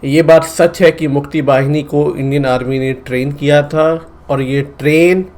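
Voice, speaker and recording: A man's voice: on the high side, steady tone, unhurried, a little mellow. A man with a Delhi Hindi accent from India, hosting a casual reaction video in an earnest, sometimes pleading way.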